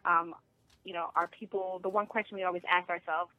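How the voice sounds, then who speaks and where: A woman's voice: fairly low pitch, fairly even intonation, fairly quick, no room sound. A woman, a film festival founder, chatting as a guest on a radio show by phone, bubbly, laughing often and using many fillers. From Liberian family. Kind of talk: casual radio interview. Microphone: phone line. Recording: tinny dry phone line.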